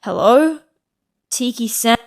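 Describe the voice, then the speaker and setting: A woman's voice: a little high, expressive, slow pace, voice pushed up, rough recording. A woman voicing an earnest, concerned male cartoon mouse hero, eager and questioning, in a story reading.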